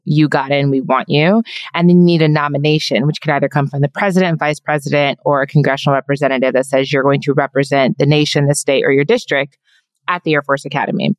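Woman, German native, deep voice, wry, matter-of-fact, dry sound. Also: clean tone, no room sound. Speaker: podcast guest. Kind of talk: casual interview chat.

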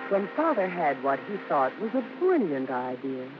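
An actress playing a daughter who narrates fond family memories in a radio drama, with a wry storytelling lilt. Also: female voice, deep voice, sing-song, normal speed, soft background music. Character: daughter fondly narrating childhood memories of her showbiz parents. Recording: noisy, dull vintage radio recording.

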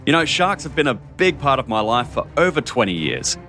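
A man's voice: mid-range voice, sing-song, fairly quick, bright, forceful tone, faint music behind. A man hosting a podcast as a marine biologist, reading an upbeat intro and then explaining at length.

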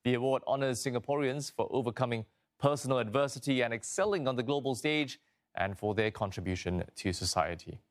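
Male newsreader, formal and measured, mid-pitched. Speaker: news reporter. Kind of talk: news report.

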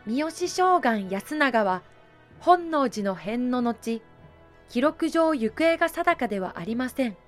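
A woman's voice: high-pitched, animated, slow, faint music behind. A woman narrating a historical audio drama in a formal, scripted storytelling manner.

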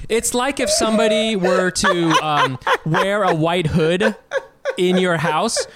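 A man's voice: high-pitched, takes it slowly, very noisy. A man co-hosting a casual comedy chat, telling stories animatedly and laughing often. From Vietnamese heritage.

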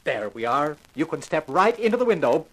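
A fussy, courteous store manager. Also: male voice, on the high side, very animated, a little fast, noisy background. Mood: proud, ingratiating. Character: fussy, polite male department store display manager. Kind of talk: 1950s radio drama acting.

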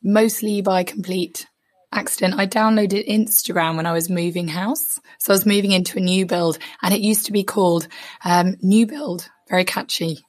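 Hoarse-voiced woman, a therapist, chatting candidly, dry close sound. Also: medium pitch, fairly even intonation, normal speed, dry recording. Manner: reflective, candid. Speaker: podcast guest, psychotherapist. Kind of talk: casual interview.

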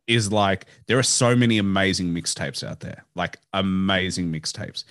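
A man's voice: sits low, normal speed, a bit bright, no room sound, studio quality. A man in his late twenties chatting casually on a podcast in an easygoing way. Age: late 20s.

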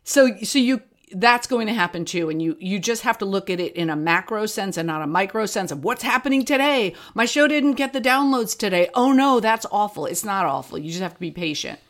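A woman chatting casually as an interview guest about her creative work, animated and self-mocking, leaning on repeated words for emphasis. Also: mid-range voice, a little fast, hardly any pauses. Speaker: guest, comedy fiction podcast creator.

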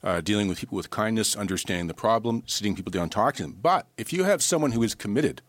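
A man with a fairly low voice, recorded close and dry. He speaks as a talk radio guest, offering opinions in a conversational but emphatic, plain-spoken manner. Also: lively intonation, fast, no echo. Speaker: studio guest commentator on a talk radio show.

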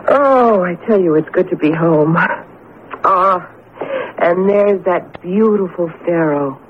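An actress playing a chatty, excitable wife in a radio drama, affectionate and anxious, with an exclamatory, expressive delivery. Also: female voice, fairly low pitch, takes it slowly. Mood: breezy, affectionate. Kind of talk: 1970s radio drama acting. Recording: muffled, noisy vintage radio broadcast.

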